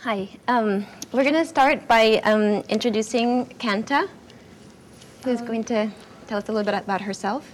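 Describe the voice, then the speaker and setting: A woman's voice: on the high side, normal speed, a little hiss or hum. A woman introducing and questioning a speaker as a conference presenter. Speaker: presenter interviewing a student.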